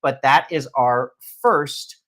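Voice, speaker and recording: A man's voice: medium pitch, slow, a bit bright. A man hosting a live shopping stream, chatting casually and describing items for sale. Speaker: host of live shopping show.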